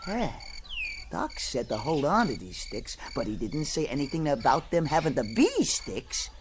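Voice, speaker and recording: An actor playing a gruff, scrappy, ape-like chemist adventurer in a radio drama, with folksy, slangy, excitable speech. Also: male voice, mid-range voice, moderate pace, noisy background, natural voice. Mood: scheming. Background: jungle night insect ambience.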